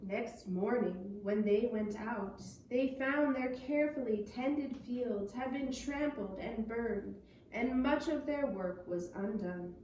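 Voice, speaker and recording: A woman narrating a storybook tale for young children as a puppet show storyteller, with measured, deliberate phrasing. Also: a little high, mellow, muffled recording. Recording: echoey, noisy room, distant mic.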